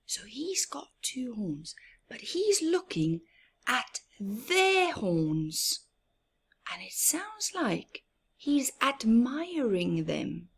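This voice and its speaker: A woman's voice: on the high side, vocal fry, slightly rough. A woman from Africa hosting a children's storytime, reading aloud in a teacherly, emphatic way with questions and explanations. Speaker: children's storytime host and reader.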